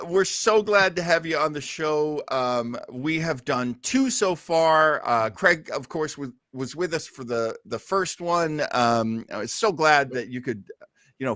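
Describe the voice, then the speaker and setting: A man's voice: on the high side, bright, forceful tone, no echo, clean studio sound. A man hosting a casual live online show in an effusive, folksy way with plenty of exclamations. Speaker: host of an online listening show.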